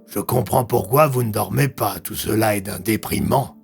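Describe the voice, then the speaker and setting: A man voicing a concerned, affectionate police chief, plain-spoken and weary, in a measured conversational way. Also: fairly low pitch, vocal fry, slightly rough, soft background music, full character voice.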